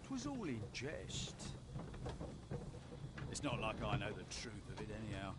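A grumbling fantasy-game cart driver, mercenary and sardonic. His delivery is slow but swings widely in pitch, with old-fashioned turns of phrase. Clear ambient rumble runs behind him. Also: huge pitch swings. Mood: sardonic, callous. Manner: muttering, archaic phrasing, sardonic. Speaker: non-player character in a fantasy video game. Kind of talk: fantasy video game acting.

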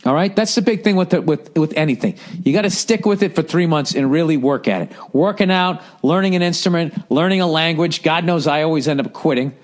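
A man's voice: a little fast, hardly any pauses. A middle-aged man hosting a podcast, bluntly giving advice with lots of swearing and mocking put-on voices. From United States. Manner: profane, blunt, mocking voices in a higher pitch. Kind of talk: casual advice rant reading listener letters.

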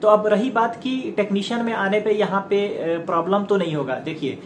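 A man, giving an informal explainer to camera in halting phrases with frequent filler pauses. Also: high-pitched. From India. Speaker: YouTube presenter giving career advice. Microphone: clip-on lapel mic. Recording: echoey room with loud background noise.

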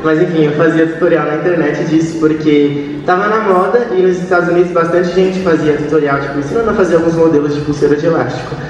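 A young man telling a relaxed, somewhat hesitant story on a panel stage, speaking into a handheld mic. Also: on the high side, quick pace, highs cut off. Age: young adult. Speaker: youtuber, channel host. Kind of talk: casual talk on a panel. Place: large hall stage, seated on a couch. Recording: echoey hall from far off, crowd.

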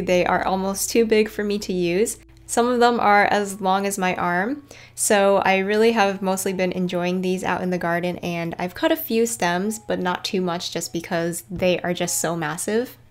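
A woman's voice: mid-range voice, moderate pace. A woman narrating a casual garden tour in a chatty, informal way. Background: background music.

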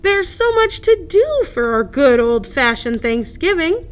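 A woman's voice: very high voice, very animated, measured pace, pitched above the performer's own voice, heavily acted. A woman voicing a fussy, polite, earnest male hippo in a children's storybook, given to exclaiming. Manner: exclamatory, huffy, courteous. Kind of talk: children's storybook read-aloud.

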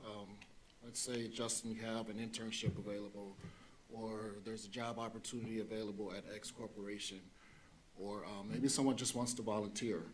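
A man's voice: lowish voice, a little hiss or hum. A man in his forties, a presenter answering board questions in a plain, explanatory way on a desk mic. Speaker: district program presenter. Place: meeting room. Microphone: desk gooseneck mic.

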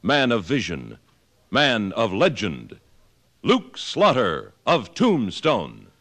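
A man's voice: on the low side, wildly swooping pitch, takes it slowly, fairly bright tone. A man announcing an old-time radio western in a grand, declamatory style. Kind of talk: dramatic 1950s radio western introduction. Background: music.

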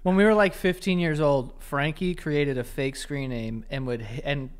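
A man's voice: fairly high pitch, normal speed, clean tone. A young man co-hosting a podcast, telling stories and teasing his co-host in casual chat, into a desk mic in a small studio. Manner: storytelling, teasing. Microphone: desk mic on stand.

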